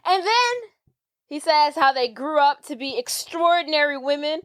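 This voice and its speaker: High-voiced playful woman podcaster. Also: moderate pace, dry recording, clean studio sound. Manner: playful, animated, jokey retelling. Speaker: podcast co-host. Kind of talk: casual movie recap chat.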